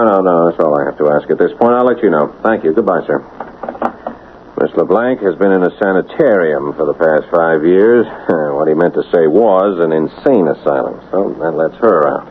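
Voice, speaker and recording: An actor playing a blunt, probing police lieutenant questioning people in a radio drama. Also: male voice, sits low. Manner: blunt, interrogating. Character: brusque, probing male police lieutenant on a case. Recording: dull, lightly hissy old radio recording.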